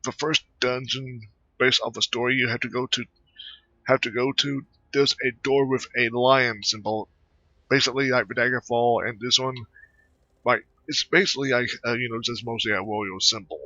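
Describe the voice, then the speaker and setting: A man giving casual gameplay commentary in a rambling, hesitant, halting way. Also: medium pitch, steady tone, pushed and bright, dry recording, dull audio. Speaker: gamer narrating own gameplay.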